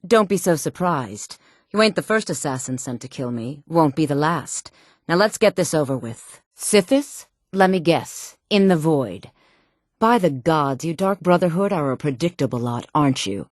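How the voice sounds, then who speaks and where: A woman's voice: low-pitched, no echo, clean studio sound, heavily acted. An actress playing a cocky, mocking female pirate in a fantasy video game, swaggering and sardonic. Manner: swaggering, sardonic, bored menace.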